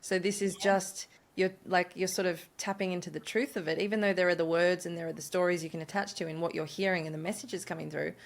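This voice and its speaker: An enthusiastic woman hosting a podcast, chatting casually with her guest. She affirms warmly and thinks out loud, circling through her ideas. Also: fairly low pitch, fairly even intonation, fast, gravelly, hardly any pauses. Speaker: podcast host. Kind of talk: casual interview chat.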